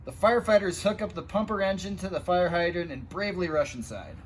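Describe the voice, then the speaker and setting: Male storytime reader, slightly high voice, expressive and child-directed, some background noise. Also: fast. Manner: expressive, addressing young listeners with asides and sound effects. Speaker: firefighter reading a children's picture book aloud. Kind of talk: animated storytime read-aloud.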